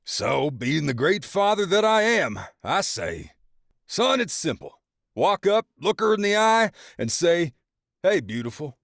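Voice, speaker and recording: A man putting on a loud teasing dad. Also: sits high, sing-song, a little slow, creaky, no room sound, studio quality, pitched above the performer's own voice, full character voice. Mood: gleeful mocking. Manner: loud, mocking, laughing storyteller. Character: boastful teasing middle-aged father, loud.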